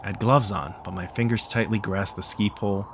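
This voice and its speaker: A man's voice: on the low side, fairly even intonation, brisk. A man reading a visual novel aloud as narrator while playing it, with faint noise behind him. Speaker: game player reading the text aloud.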